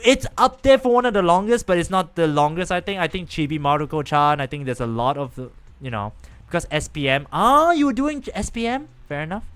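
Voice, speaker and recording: Young man, game streamer, rambling casual chat, lively swinging intonation. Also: on the high side, brisk, smooth. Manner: animated, rambling, chatting with viewers. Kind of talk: casual live-stream chat while gaming.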